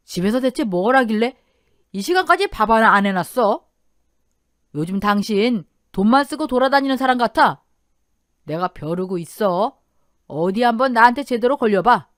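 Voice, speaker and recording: A woman's voice: on the high side, animated, moderate pace, pauses often, dry recording, studio quality, close to an everyday voice. Woman playing a stern, indignant older father-in-law. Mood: angry. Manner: forceful rebuking.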